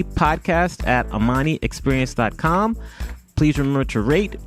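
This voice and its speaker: A man's voice: mid-range voice, a bit bright. A man with an American accent hosting a podcast, giving introductions and interviewing. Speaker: podcast host. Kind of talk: scripted introductions and interview chat.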